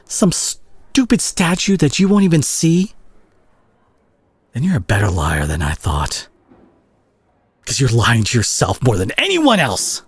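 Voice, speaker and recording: Casting: male actor as tough brawler hero with a tender streak, very husky and breathy, widely swinging pitch, earnest audio-roleplay delivery, dry close sound. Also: wildly swooping pitch, no echo, full character voice. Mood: impassioned, reproachful. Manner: earnest, emotional, halting delivery with sighs. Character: gruff brawler superhero, male, fiercely protective, guilt-ridden and tender. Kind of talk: dramatic intimate audio roleplay monologue.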